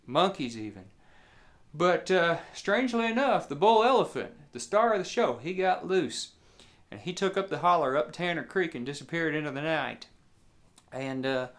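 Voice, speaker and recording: A man with a rural southern Indiana accent telling a story in a folksy, colloquial way, pausing between phrases. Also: a little high, fairly bright tone. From southeast Indiana, United States. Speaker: musician and storyteller.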